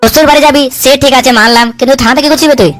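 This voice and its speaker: Woman voicing a high-pitched, hectoring cartoon politician. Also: racing, soft background music, close to an everyday voice. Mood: suspicious. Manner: coarse, swearing, hectoring with repeated tag questions. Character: foul-mouthed, hot-tempered bullying male politician, threatening and domineering. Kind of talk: comic cartoon dub dialogue.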